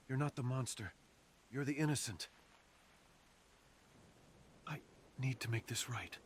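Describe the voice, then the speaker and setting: A man's voice: mid-range voice, slight background noise, natural voice. A man playing a fox assassin in an ASMR roleplay, speaking theatrically and intimately to the listener, savoring his phrases. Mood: remorseful, troubled. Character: menacing, sadistic male fox assassin with a remorseful streak. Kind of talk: ASMR roleplay, close dramatic monologue to the listener.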